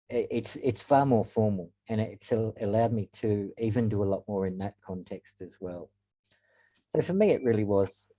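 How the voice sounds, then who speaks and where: A man giving a reflective webinar talk as guest speaker, pausing often with ums. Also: lowish voice, mellow, poor audio. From Australia. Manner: reflective, frequent ums and pauses. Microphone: video call.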